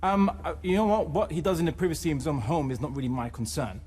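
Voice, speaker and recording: Insistent, higher-pitched male panelist. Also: quick pace, darkish tone, slight background noise. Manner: insistent, repetitive restarts, defensive when pressed. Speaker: debate panelist. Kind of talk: studio debate argument.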